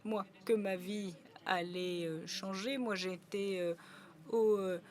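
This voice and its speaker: A woman doing simultaneous interpretation at a conference, a steady relay full of brief hesitations. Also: medium pitch, slow.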